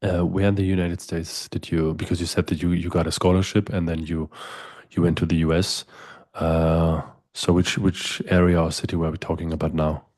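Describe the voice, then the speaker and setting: A man's voice: low voice, little pitch movement, moderate pace, darkish tone, dry recording, studio quality. A middle-aged man hosting a podcast, asking long, winding interview questions with frequent restarts. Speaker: podcast host.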